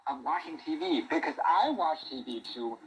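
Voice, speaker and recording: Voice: medium pitch, animated, moderate pace, a little hiss or hum, low-quality recording. A person chatting casually and ramblingly on a shortwave radio broadcast, heard through a small speaker.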